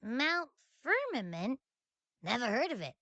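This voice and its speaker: A woman voicing a playful, curious, food-loving girl companion in a video game, expressive and friendly. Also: on the high side, a little slow, highs cut off, heavily acted. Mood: surprised.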